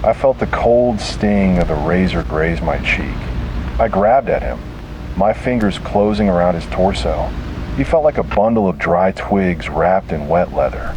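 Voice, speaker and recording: A man delivering scripted first-person horror narration in a grave, dramatic manner with deliberate pauses. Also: low voice, expressive, background noise.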